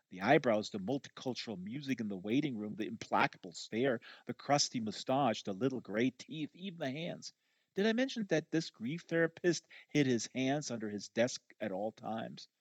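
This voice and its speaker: A man's voice: mid-range voice, no echo. A man reading a first-person novel aloud live, with an emphatic, conversational delivery. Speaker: audiobook reader. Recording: dull, lo-fi audio.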